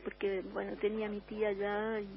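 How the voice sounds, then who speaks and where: An older woman from Uruguay with a Chilean accent, a musician guest on a radio interview, talking in a reflective, unhurried way with many restarts. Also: mid-range voice, monotone, highs cut off.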